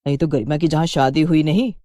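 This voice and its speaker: A woman's voice: low voice, fast, dark tone, no echo, clean studio sound, close to an everyday voice. A woman voicing a conniving younger daughter-in-law with a sly, plotting manner. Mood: scheming.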